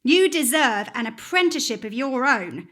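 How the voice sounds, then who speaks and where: A woman playing a doting but sharp-tongued working-class mother in a radio play, affectionate and scolding. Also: high-pitched, expressive, normal speed. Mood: fond. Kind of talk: stage radio-play acting.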